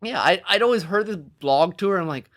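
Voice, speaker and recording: A man's voice: high voice, animated, normal speed, fairly bright tone. A man co-hosting a podcast as an author, chatting casually in a rambling, self-deprecating way.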